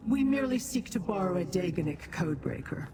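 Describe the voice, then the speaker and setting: A woman's voice: fairly low pitch, lively intonation, brisk, background noise, close to an everyday voice. An actress playing a proud female demon who talks down to mortals, with a cool, formal, commanding manner.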